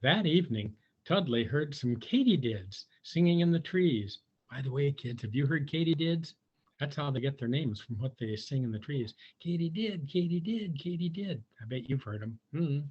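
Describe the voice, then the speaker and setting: A man's voice: mid-range voice, animated, normal speed, breathy edge, muffled recording. A man reading a children's storybook aloud, chatting to his young listeners in conversational asides.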